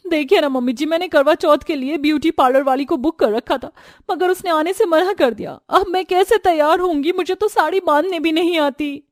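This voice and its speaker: A woman voicing a haughty, sharp-tongued young city daughter-in-law in a family story, snapping scornfully and mixing in English words. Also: high voice, fairly quick, few pauses, dry recording, studio quality, pitched above the performer's own voice, natural voice. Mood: whining, upset. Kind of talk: Hindi family storybook voice acting.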